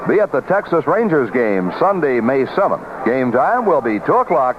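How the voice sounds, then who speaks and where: An American man reads sports notes and announcements as a baseball broadcaster. His mid-pitched voice comes through a dull vintage radio recording with clear noise. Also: very animated, normal speed.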